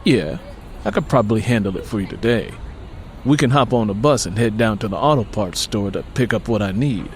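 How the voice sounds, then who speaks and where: A man's voice: fairly low pitch, fairly quick, slight background noise, natural voice. A man voicing a streetwise, sly handyman in an audiobook, casual, slangy and persuasive. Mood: easygoing. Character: streetwise, sly male handyman sizing up a deal.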